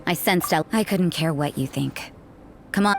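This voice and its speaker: Cool cynical church sister, lowish dry voice, game music behind. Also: female voice, moderate pace. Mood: aloof. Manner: dry, aloof, sardonic.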